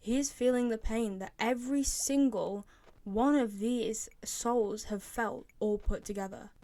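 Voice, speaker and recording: A young British woman with a fairly high voice hosts a casual commentary video. She repeats phrases for emphasis, and the sound is close and dry. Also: unhurried, warm tone, no room sound. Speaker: video host, game fan.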